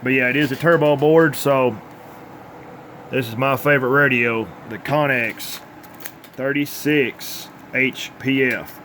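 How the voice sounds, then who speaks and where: A man with a mid-pitched voice talks through technical work in a plainspoken, informal way, like a repair technician at his bench. There is faint noise behind him. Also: steady tone, slow, fairly bright tone. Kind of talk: informal workbench demonstration.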